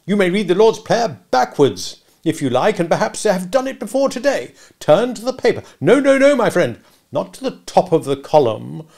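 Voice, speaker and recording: Storybook bully played by a man: sarcastic gentleman cross-examiner, high-pitched, forceful and domineering in delivery. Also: sing-song, normal speed, gravelly, exaggerated voice. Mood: sarcastic, insistent. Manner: interrogative, sarcastic, emphatic, domineering. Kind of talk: dramatic audiobook character reading.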